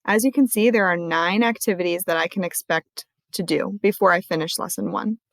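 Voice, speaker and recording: A woman librarian walking viewers through a screen-recorded tutorial in a clear, friendly explaining manner. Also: mid-range voice, fairly quick, no echo.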